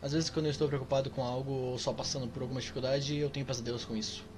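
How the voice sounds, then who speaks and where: Soft masked young man, troubled and quiet, game character, man reading the part, rapid delivery. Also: mid-range voice, steady tone, noisy background, close to an everyday voice. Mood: weary.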